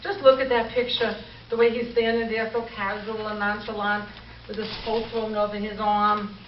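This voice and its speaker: A woman's voice: mid-range voice, flat delivery, moderate pace, natural voice. A woman playing a sardonic, wisecracking telephone operator in an old-time radio comedy performed on stage. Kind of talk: 1940s radio comedy acting. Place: live stage show before an audience. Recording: echoey stage recording, distant, dull, faint noise.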